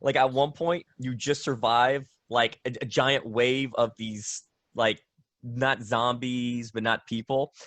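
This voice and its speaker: A man's voice: mid-range voice, steady tone, takes it slowly, a bit bright, no echo. Enthusiastic male fan interviewer, dry sound. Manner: enthusiastic, rambling. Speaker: interviewer, video game fan. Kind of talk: casual fan interview chat.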